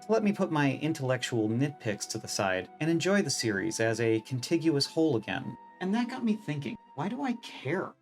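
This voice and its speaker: A man's voice: on the high side, sing-song, fairly quick, few pauses, faint music behind. A man narrates a scripted video essay in a wry, opinionated, casually profane way.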